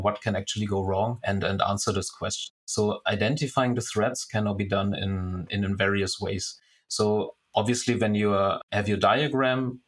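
Guy, low husky voice, walks through stuff step by step, interview guest. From Germany. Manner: methodical, step-by-step explaining with restarts. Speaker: security architect guest. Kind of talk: explaining in an interview.

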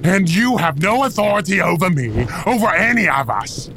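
A man playing a wrathful, defiant warlord in an audio drama, speaking with bitter scorn and halting menace. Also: sits high, very animated, gravelly, bright, forceful tone, slight background noise, full character voice. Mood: defiant warning.

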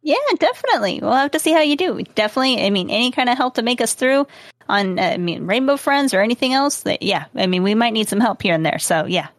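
Lively, agreeable woman co-host. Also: on the high side, fast talker, no room sound, studio quality. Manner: agreeable, laughs often. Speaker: podcast co-host. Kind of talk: casual chat.

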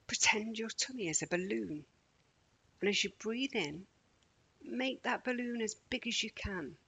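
A woman leading a children's guided relaxation in a gentle, soothing, measured manner with deliberate pauses. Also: mid-range voice, lively intonation, vocal fry, breathy edge. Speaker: meditation guide.

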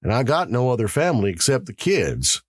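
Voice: mid-range voice, sing-song, fairly quick, dry recording, studio quality, pitched above the performer's own voice. A narrator playing a subdued small-town husband who speaks tersely and with regret. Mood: resigned.

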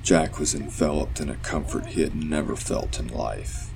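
A man's voice: a bass voice, normal speed, gravelly, darkish tone. A man narrating a scripted horror story in an audio play.

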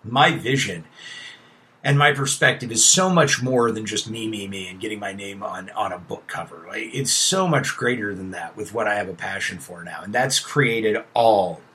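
A man's voice: fairly low pitch, moderate pace, bright, forceful tone. A man in his late thirties hosting a casual motivational monologue, emphatic and talking straight to the listener, sometimes dropping to a near-whisper. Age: 30s. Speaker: host.

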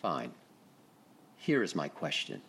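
Wistful nervous man in radio drama, husky voice, pitch all over the place. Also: sing-song, slow, a bit bright, exaggerated voice. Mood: nervous intensity. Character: wistful, nervous grown man revisiting his boyhood.

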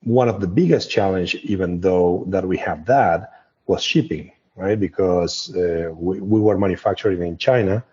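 A man's voice: low voice, moderate pace, darkish tone, slightly rough. A man from Argentina with an Argentine Spanish accent, speaking conversationally as a company co-founder being interviewed, in a discursive way with frequent verbal tags. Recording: dull, muffled lo-fi audio.

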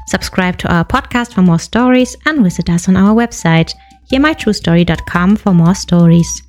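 A woman's voice: fairly low pitch, normal speed, darkish tone. Woman reading podcast credits over music. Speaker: podcast outro announcer. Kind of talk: scripted credits read. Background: faint music.

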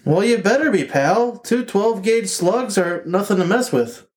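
A man's voice: sits high, expressive, measured pace, a little mellow. A man voicing a flustered husband at the door, who hesitates and stammers with lots of fillers.

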